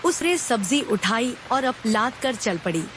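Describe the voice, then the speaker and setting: Lively high-voiced woman storyteller. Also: measured pace, a little hiss or hum, poor audio. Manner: expressive storytelling. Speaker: narrator. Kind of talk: scripted storybook narration.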